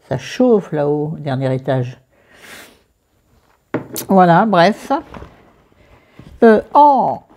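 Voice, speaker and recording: A woman's voice: deep voice, mellow. A middle-aged woman with a southern French accent, chatting casually and self-mockingly about her makeup and skincare. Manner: chatty, self-mocking, talks to viewers and friends by name. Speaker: beauty vlogger.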